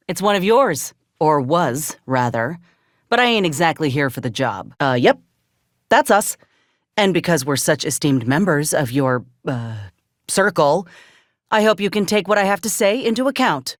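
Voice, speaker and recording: A woman's voice: low-pitched, no room sound, clean studio sound. An actress playing a determined, scrappy soldier woman in a video game, with blunt, folksy frontier speech. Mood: sardonic. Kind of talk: video game character dialogue.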